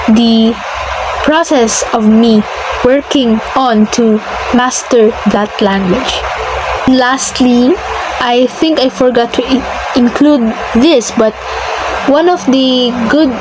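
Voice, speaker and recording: A woman whose first language is Filipino presents a lesson at a slow, deliberate pace. She often stops to repeat and correct herself, and her voice sits a little high. Steady traffic noise runs under the whole recording. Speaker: student presenter. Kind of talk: classroom slide presentation. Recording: noisy with traffic behind.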